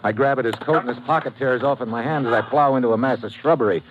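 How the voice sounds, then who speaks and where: An actor playing a terse, wisecracking American investigator, delivering tough noir narration and dialogue for radio. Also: male voice, medium pitch, fast talker, muffled recording, close to an everyday voice. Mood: urgent narration. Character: hard-boiled, wisecracking American investigator hero. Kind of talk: first-person noir narration and radio acting. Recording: noisy old-time radio recording.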